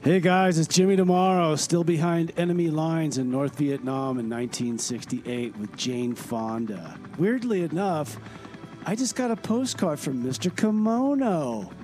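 A man playing a time-travelling radio regular who chats offhandedly with deadpan asides. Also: on the high side, measured pace, lightly acted. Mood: breezy. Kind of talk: comedy radio theater. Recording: sketch with music underneath.

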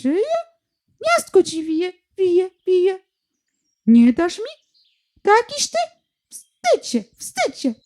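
A woman voicing a flock of small birds bickering and grabbing at things in high chatter. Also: huge pitch swings, very slow, long pauses, a little mellow, full character voice.

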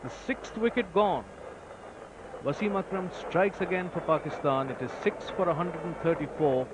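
A man giving ball-by-ball cricket commentary, lifting his voice sharply when wickets fall. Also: on the high side. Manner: excited rises on wickets, measured otherwise. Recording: noisy old broadcast tape.

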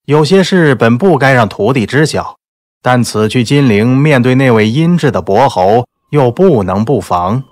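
A man narrating a novel as a scripted audiobook, in a measured, literary storytelling manner. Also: medium pitch, lively intonation, no room sound, clean studio sound. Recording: soft background music under the voice.

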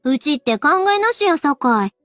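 A woman's voice: sits high, very animated, normal speed, fairly bright tone, no echo, clean studio sound. An actress voicing a frank, chatty young fireworks girl with a Kansai-style accent in a game voice line. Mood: musing. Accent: Kansai-style dialect. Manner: candid, chatty.

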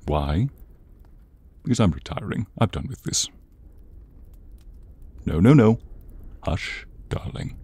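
A man playing an exasperated, menacing horned demon in an ASMR roleplay, theatrical and grumbling, with a husky, breathy voice. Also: fairly low pitch, big pitch swings, takes it slowly, long pauses, mellow, dry recording, exaggerated voice. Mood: resigned.

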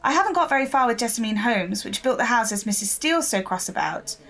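An actress playing a keen, polite and persistent young newspaper reporter in an audio drama. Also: female voice, a little high, animated, fast, fairly bright tone. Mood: businesslike.

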